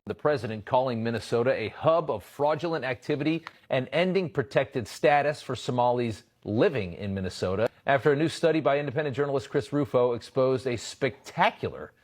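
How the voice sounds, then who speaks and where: A man presenting a news segment with a measured, emphatic read. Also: mid-range voice, lively intonation.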